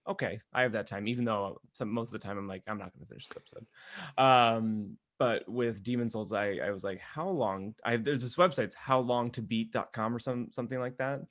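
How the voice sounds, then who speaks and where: A man's voice: medium pitch, steady tone, a little fast, a bit bright. A man co-hosting a casual podcast, telling stories to friends in a rambling, self-deprecating way. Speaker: podcast co-host. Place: remote video call.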